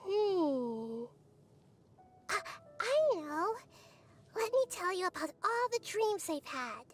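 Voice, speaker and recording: An actress voicing a wise, childlike little goddess in a video game, gentle and curious, speaking in a soft, reflective, precisely worded way. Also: female voice, extremely high pitch, very animated, slow, soft background music, exaggerated voice. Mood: dreamy, eager. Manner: soft-spoken, reflective, precise, storybook phrasing. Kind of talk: scripted game character monologue.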